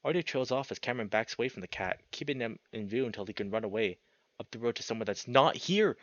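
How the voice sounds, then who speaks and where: A man's voice: medium pitch, lively intonation, fast, dry recording, muffled recording. A man narrating a visual novel in a dramatic, immersed storytelling way, as a player reading aloud.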